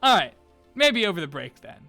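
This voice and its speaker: Bright, high-voiced teenage male narrator. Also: very animated, moderate pace, creaky, faint music behind. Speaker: narrator and creator. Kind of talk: scripted narration, comic host banter.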